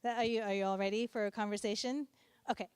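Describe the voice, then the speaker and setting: A woman moderating a university panel, speaking in a relaxed, informal way with many fillers. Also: medium pitch, fast talker, smooth. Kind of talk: casual spoken introduction. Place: university event hall.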